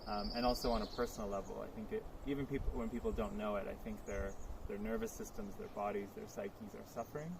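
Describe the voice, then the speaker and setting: A man's voice: lowish voice, lively intonation, quick pace, background noise. Reflective man. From Poland. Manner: reflective, earnest, thinks aloud. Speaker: interviewee. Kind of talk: outdoor interview answers. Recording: crickets clearly audible outdoors.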